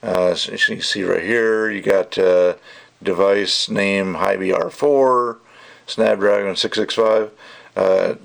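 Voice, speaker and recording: A man's voice: low voice, fairly even intonation, takes it slowly, creaky, a bit bright. A man giving a casual, rambling product review in an opinionated, emphatic way with conversational asides. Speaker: gadget reviewer.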